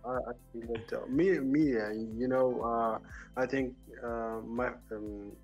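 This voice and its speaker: A young man from Germany, a native German speaker and student, chatting haltingly in English with many fillers. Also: medium pitch, fairly even intonation, darkish tone, muffled recording, soft background music. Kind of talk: casual chat.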